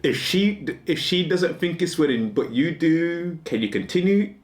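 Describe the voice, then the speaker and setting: A man's voice: on the high side, expressive, measured pace. A man in his forties musing casually on a dating question in a rambling, self-amused way, restarting his sentences often. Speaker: vlogger. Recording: echoey room.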